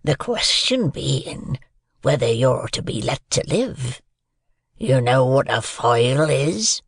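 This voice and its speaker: A man voicing a menacing, ragged convict in a storybook, with slow, drawn-out rustic threats. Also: medium pitch, animated, gravelly, slightly rough, no echo, clean studio sound, full character voice. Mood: suspicious.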